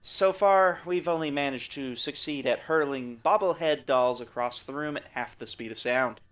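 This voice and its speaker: A man playing an excitable scientist in an audio drama, explaining technical things in a wry way. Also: fairly high pitch, normal speed, muffled recording, natural voice. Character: excitable male scientist explaining space physics.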